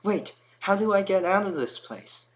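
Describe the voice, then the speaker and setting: A man voicing a small, curious, earnest creature in a storybook tale, calling out loudly. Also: high voice, animated, normal speed, muffled recording, pitched above the performer's own voice. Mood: desperate.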